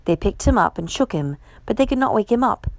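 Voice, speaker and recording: Woman doing kids storytime, really animated up and down, bit of creak, dry close sound. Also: medium pitch, brisk, no echo. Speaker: storytime host reading a picture book aloud. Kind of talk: expressive children's read-aloud narration.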